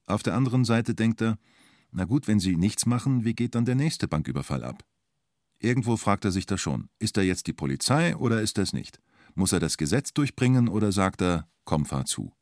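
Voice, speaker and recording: Low-voiced male documentary narrator. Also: animated, brisk, a little mellow, dry recording, clean studio sound.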